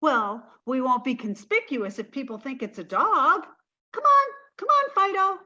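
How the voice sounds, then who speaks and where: A woman playing a naive, soft-hearted, scatterbrained young woman in a 1940s-style radio comedy. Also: high-pitched, very animated, a little slow, highs cut off, heavily acted. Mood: pleading.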